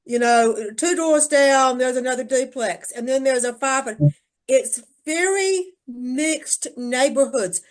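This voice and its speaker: A woman's voice: sits high, slow pace. An older woman speaking casually in a group discussion, emphatic and repeating phrases to stress her point. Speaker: church discussion participant.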